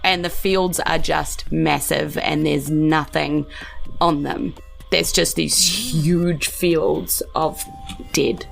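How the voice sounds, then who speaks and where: A woman's voice: low-pitched, steady tone, slow, creaky, faint music behind. A woman with a New Zealand accent improvising tabletop game narration as game master, informal and descriptive with hesitant phrasing.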